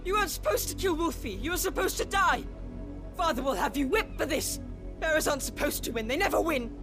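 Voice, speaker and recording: A high-pitched, whining young noble child for a video game. The delivery is petulant and entitled, with a cruel streak. Music plays prominently behind. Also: expressive, fairly quick. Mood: indignant, upset.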